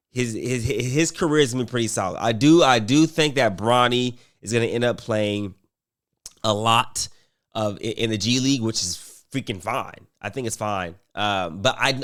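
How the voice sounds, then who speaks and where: A man's voice: medium pitch, a bit bright. A man commentating casually on basketball, rambling with fillers and restarts while getting over a cold.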